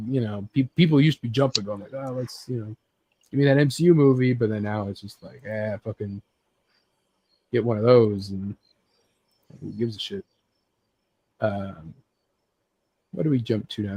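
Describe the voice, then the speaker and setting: A man's voice: mid-range voice, dark tone, no room sound. A man hosting a casual, sweary chat about geek culture, rambling and often acting out little imagined bits. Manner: rambling, acts out imagined scenes with sound effects. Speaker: host leading a group chat about games and films.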